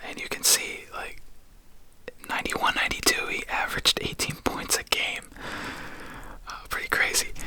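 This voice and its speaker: Whispering ASMR host, soft and casual. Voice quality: unvoiced whisper throughout. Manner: casual, halting, conversational. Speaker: video host. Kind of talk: whispered ASMR card-opening chat.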